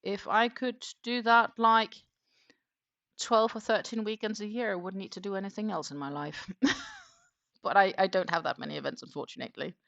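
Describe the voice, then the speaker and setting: A woman's voice: medium pitch, moderate pace, vocal fry, a bit bright, lo-fi sound. A middle-aged woman hosting a crochet livestream, talking in a casual, rambling way with frequent ums as she tells about her weekend. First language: not German. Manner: chatty, rambling, frequent um fillers. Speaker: crochet channel host.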